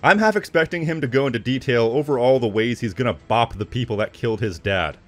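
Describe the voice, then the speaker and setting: A man's voice: medium pitch, quick pace, fairly bright tone, soft background music. Wry man narrating video commentary. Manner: snide, wry asides. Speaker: video essayist.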